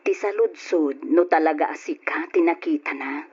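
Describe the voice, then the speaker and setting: An actress with a Filipino Ilocano accent playing an anxious, dutiful, soft-spoken wife in an old-fashioned radio drama. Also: female voice, sits low, normal speed, husky. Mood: hesitant, questioning. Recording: dull lo-fi radio drama recording.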